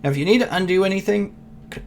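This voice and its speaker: A man who is losing his voice talks loosely through a software tutorial, rambling and thinking aloud with fillers and throat clearing. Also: sits high, fast, slight background noise. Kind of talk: casual screen-recorded tutorial.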